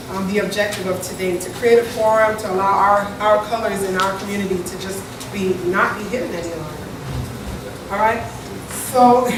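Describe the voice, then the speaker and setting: A woman moderating a community panel discussion in front of an audience, talking in a conversational way and often checking in with "right?". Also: fairly high pitch. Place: large echoey event hall with an audience. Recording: echoey hall, distant mic, audible hiss.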